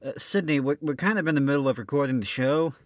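A man's voice: on the high side, fast talker, no echo, clean studio sound. A man hosting an old-time radio show in scripted comic banter, mock-serious with some stammering.

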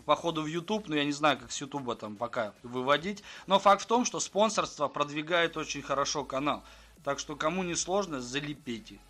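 Sex: man. Role: streamer, host. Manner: brisk, talks straight to viewers. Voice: somewhat high for a man. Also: a bit bright. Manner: brisk, addresses viewers directly, plugs sponsorship. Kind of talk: casual live-stream chat.

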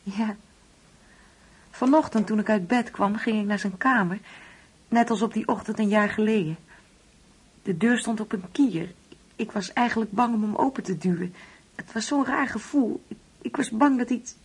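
An actress playing a wistful, uneasy older mother in a Dutch radio play, speaking hesitantly and brooding, at times hushed. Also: fairly high pitch, moderate pace, long pauses, husky, poor audio. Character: wistful, uneasy ageing mother grieving an absent son.

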